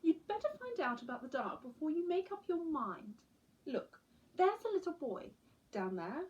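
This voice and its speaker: A mother barn owl from a storybook voiced by a woman reader. She is caring and gently firm, coaxing in a motherly way. Her voice is fairly high with lively swings in pitch. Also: normal speed, distant mic, muffled recording.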